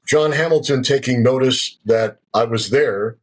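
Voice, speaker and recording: A man in his sixties with a somewhat husky voice recounts his life story as a guest. He speaks reflectively and unhurriedly, a former radio broadcaster settling into his memories. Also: medium pitch, a little slow, poor audio. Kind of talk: personal testimony in conversation.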